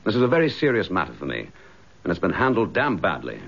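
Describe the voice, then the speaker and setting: An actor playing an embattled, indignant British police chief inspector in a radio drama, clipped, forthright and sardonic. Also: male voice, low-pitched, huge pitch swings, quick pace, creaky, fairly bright tone, slightly rough, heavily acted. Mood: coolly defiant. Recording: slightly dull radio drama recording.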